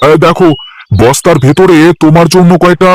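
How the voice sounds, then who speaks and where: A man voicing an eager, defensive village vegetable seller in a cartoon, chatty and folksy with drawn-out hesitations. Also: a little high, fairly even intonation, a little hiss or hum, natural voice. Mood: casual, homely. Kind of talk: cartoon voice acting.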